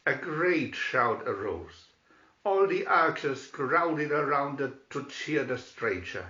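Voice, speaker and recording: A man narrating a storybook in a dramatic, theatrical and deliberate way. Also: mid-range voice, bright, forceful tone, roomy sound, muffled recording.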